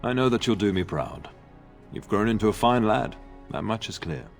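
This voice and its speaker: A man's voice: fairly low pitch, animated, normal speed, gravelly, soft background music. A middle-aged man playing a genial, dignified lord and proud father in a video game. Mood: earnest, fatherly.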